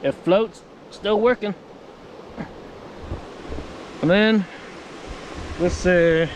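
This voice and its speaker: Male vlogger, higher-than-average voice, informal off-the-cuff demo delivery, windy and noisy outdoor action-camera sound. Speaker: vlogger demonstrating marine VHF radios. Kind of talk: casual, improvised product demonstration. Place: outdoors by the water. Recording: windy outdoor recording with water noise.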